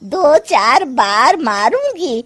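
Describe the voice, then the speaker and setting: A woman plays a wily, put-upon older mother-in-law in a comic cartoon. She grumbles sarcastically, drawing her words out very slowly with big, lively pitch swings. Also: full character voice. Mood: slyly menacing. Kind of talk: Hindi cartoon comedy acting.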